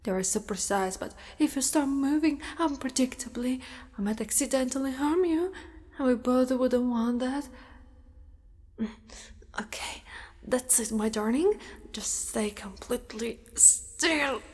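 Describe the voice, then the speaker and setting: Woman playing a slow, echoing, possessive spider-monster woman. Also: sits high, darkish tone. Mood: coaxing, possessive. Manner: slow, deliberate, sinister coaxing. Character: possessive yandere spider-monster woman, menacing yet doting predator. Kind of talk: ASMR roleplay, often whispered. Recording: long reverberant cave-like echo.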